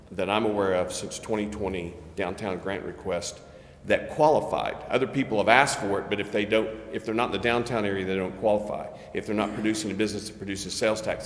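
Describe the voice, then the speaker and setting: A man explaining things at a public meeting in a measured, halting, careful way, as a city official. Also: fairly low pitch. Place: indoor council chamber. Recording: echoey meeting chamber with background noise.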